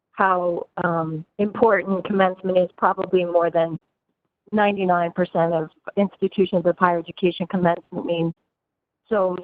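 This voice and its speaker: A woman's voice: fairly low pitch, no echo. A woman university administrator speaking in an online meeting, reassuring and repetitive with many fillers, her throat scratchy. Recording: dry tinny phone-like audio.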